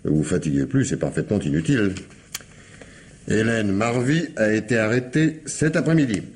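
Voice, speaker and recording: An actor playing a shrewd, sardonic police commissioner in a radio crime drama, questioning with wry insistence and theatrical hesitations. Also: male voice, lowish voice, moderate pace, a little mellow, slight background noise, close to an everyday voice. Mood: assured.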